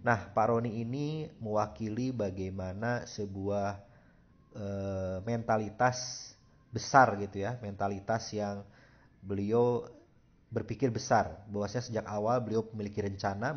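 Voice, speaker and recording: A man hosting a podcast, introducing a guest in a measured way with frequent hesitation fillers. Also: lowish voice, a little mellow, slightly rough, lo-fi sound. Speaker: podcast host.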